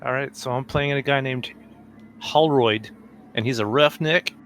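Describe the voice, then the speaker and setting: A man's voice: medium pitch, measured pace, a bit bright, slight background noise. A man playing a tabletop game, describing his character casually in an offhand, dryly humorous way. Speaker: player.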